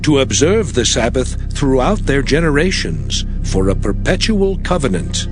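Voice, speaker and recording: An actor playing a solemn proclaimer of divine law in a dramatized scripture reading, deliberate and declamatory. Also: male voice, mid-range voice, sing-song. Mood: stern, solemn. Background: music.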